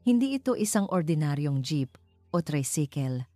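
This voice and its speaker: A woman's voice: low voice, sing-song, normal speed, warm tone. A woman reading a scripted story in dramatic narration. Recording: music behind the voice. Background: background music.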